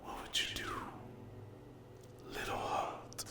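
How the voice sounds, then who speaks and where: A man playing a menacing, hungry sleep paralysis demon in a whispered ASMR roleplay, breathy and deliberate, taunting the listener. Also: echoey room, natural voice. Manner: very slow, deliberate, menacing, with long pauses.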